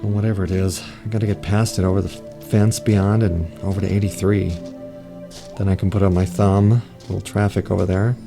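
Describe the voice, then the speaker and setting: An actor playing a henpecked, exasperated husband in an audio drama, grumbling in short bursts. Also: male voice, deep voice, flat delivery, a little fast, creaky, music in the background. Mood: grumbling, puzzled.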